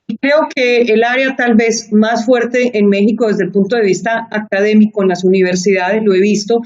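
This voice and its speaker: A woman's voice: medium pitch, fairly even intonation, normal speed, a little mellow. A Colombian woman speaking Spanish with a Colombian accent, a guest in an interview who talks in an earnest, reflective way and repeats phrases for emphasis. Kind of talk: conversational interview. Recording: muffled lo-fi audio.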